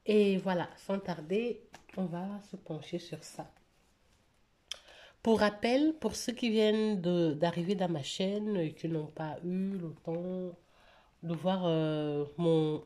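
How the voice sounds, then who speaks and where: Woman doing a casual budget vlog, lowish mellow voice, lots of ums, bit stuffy from a cold. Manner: hesitant, many fillers and restarts. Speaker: budget vlogger.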